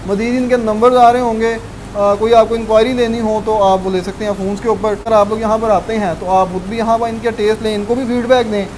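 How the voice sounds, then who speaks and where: Fast, high-voiced man. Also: flat delivery, darkish tone, low-quality recording. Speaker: food vlog host. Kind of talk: casual on-camera interview and presenting. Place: indoor restaurant seating area. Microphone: clip-on lapel mic. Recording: clear background ambient noise.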